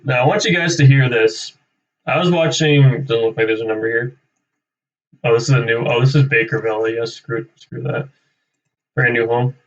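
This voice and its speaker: A man's voice: medium pitch, fairly even intonation, normal speed. Young man, distant slightly dull mic. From Canada. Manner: rambling, thinking aloud, tags sentences with "right?". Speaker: realtor hosting a live cold-calling stream. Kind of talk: casual off-the-cuff livestream chat.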